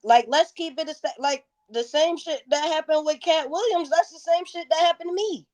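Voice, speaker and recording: A woman's voice: high-pitched, normal speed, a little mellow. A woman in her thirties talking candidly and casually in an interview, with frank, slangy, profanity-laced storytelling. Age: 30s. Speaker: interviewed guest, music performer.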